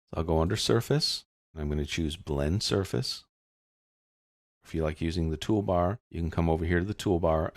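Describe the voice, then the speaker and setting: Man, software tutorial narrator, gravelly, dry close sound, informal explanatory delivery. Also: sits low, no room sound. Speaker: instructor.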